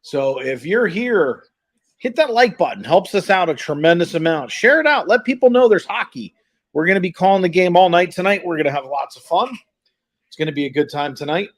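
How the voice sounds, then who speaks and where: A man's voice: a little high, brisk. A man hosts a live hockey stream and calls the game. He talks in an informal, chatty way, a bit scattered as he juggles tasks while welcoming viewers. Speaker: live stream host calling hockey play by play. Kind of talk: casual live sports stream chat, greeting viewers.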